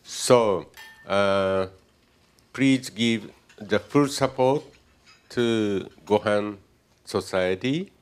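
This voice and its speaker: An older man giving a halting, joking speech at a gala dinner, with many pauses and fillers. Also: lowish voice, smooth. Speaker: gala speaker, society president. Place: banquet hall.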